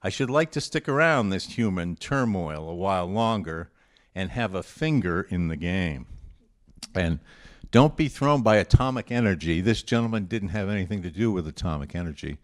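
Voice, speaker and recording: A man with a fairly low voice hosts a guessing quiz for a group. He reads quotations out deliberately and adds informal, conversational commentary as he goes. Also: expressive. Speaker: presenter of a quote guessing quiz.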